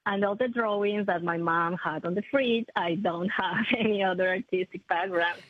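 A woman, a prosthetist answering interview questions in a halting, self-correcting way. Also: mid-range voice, husky, no echo. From Ecuador. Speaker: maxillofacial prosthetist, guest. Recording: tinny phone-like line, dry.

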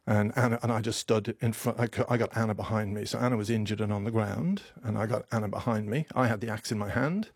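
Man from Ireland, fifties, gravelly low voice, halting and emotional, dry close studio sound. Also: no room sound. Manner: halting, with frequent restarts and repeated words. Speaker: doctor, interview guest. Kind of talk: emotional radio interview.

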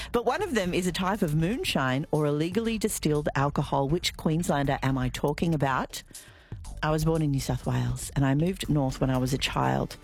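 A woman's voice: sits low, husky. An Australian woman hosting talkback radio, chatty and upbeat as she takes calls and reads out numbers and quiz clues. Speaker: radio host. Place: radio studio. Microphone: studio mic.

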